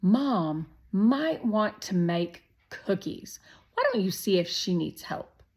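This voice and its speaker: A woman's voice: medium pitch, expressive, slow pace, a little mellow. A woman voicing a bookish, particular young boy in a storybook, grumbling and pointed, often drawing words out. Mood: pleading hints. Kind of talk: children's storybook read-aloud.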